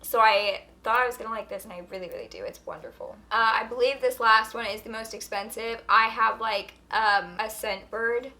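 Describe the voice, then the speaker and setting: Young woman beauty vlogger, hoarse, kinda high and bright, lots of "like". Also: normal speed, few pauses. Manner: chatty, full of "like" fillers. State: hoarse, losing her voice from a sore throat. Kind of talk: casual product review.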